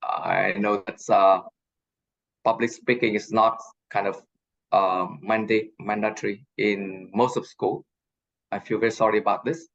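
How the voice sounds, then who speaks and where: A man who is not a native English speaker, giving a lecture and answering questions on a video call, halting with many fillers and restarts. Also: fairly low pitch, fairly even intonation, creaky, a bit bright, muffled recording. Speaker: guest lecturer. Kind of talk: online lecture Q&A.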